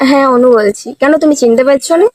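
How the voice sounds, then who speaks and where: A woman with a high voice talks quickly on a phone prank. She sounds plaintive and insistent, pressing her point with reproach in casual, earnest speech. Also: darkish tone, no room sound. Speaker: prank caller.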